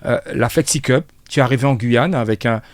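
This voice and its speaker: A male radio host interviews guests in a dry studio. His voice sits at a middle pitch, and he builds long questions hesitantly, often repeating phrases as he goes. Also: fairly quick, no echo.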